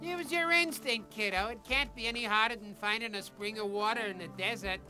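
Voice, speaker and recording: A woman's voice: on the high side, a little fast, music in the background, natural voice. A woman voicing a small, aging cartoon scorpion who talks in slangy, sardonic quips. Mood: wry.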